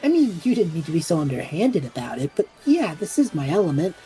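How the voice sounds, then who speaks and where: Woman playing a low, flippant, animated young man. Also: fairly quick, soft background music, low-quality recording. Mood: breezy. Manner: flippant, wry, theatrical. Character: impulsive, chatty extrovert young male traveller, showman. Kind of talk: audio drama acting.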